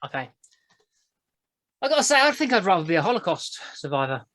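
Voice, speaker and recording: A woman's voice: on the low side, very animated, brisk, a bit bright, no echo. A young woman, hosting a crude casual chat podcast, blunt and giggly as she rambles. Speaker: podcast host.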